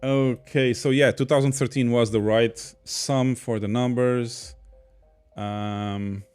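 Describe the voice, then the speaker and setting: A man's voice: mid-range voice, steady tone, takes it slowly, a little mellow, clear, resonant tone, faint music behind. A man from Portugal with a Portuguese accent, casually hosting a livestream and reading viewer comments aloud. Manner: chatty, reads viewer comments aloud, laughs easily. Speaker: podcast host reading chat.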